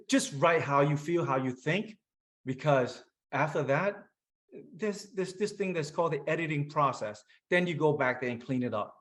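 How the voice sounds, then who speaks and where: A man's voice: a little high, fairly bright tone. A man author on a panel, plain-spoken and encouraging. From Vietnamese-American. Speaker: author guest. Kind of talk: panel discussion.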